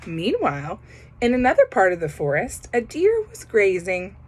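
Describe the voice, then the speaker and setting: A woman reading a children's picture book aloud, pausing to comment on the pictures. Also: mid-range voice, wildly swooping pitch, a little hiss or hum. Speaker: storybook reader.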